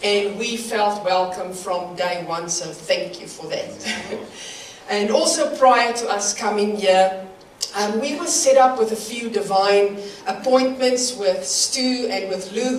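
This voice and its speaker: A woman's voice: medium pitch, slight background noise. South African woman preaching in a church. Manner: nervous, warm, laughs between phrases. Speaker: guest preacher. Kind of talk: church sermon. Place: church hall. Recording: roomy church hall from far off.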